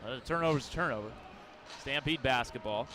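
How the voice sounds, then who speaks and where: A man calling live basketball play-by-play for radio in clipped descriptive phrases, quick to get excited. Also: medium pitch. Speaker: play-by-play announcer. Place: courtside in an arena. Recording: noisy gym with bouncing balls. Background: basketball game arena noise.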